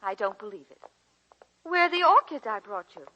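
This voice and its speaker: A bossy, fond niece in a forties radio play. Her voice is bright and fairly high, with brisk delivery, on a dulled vintage recording. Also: big pitch swings, dull audio, heavily acted. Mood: brisk, insistent. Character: brisk, bossy, affectionate niece coaxing her elderly aunt. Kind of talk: 1940s radio drama acting.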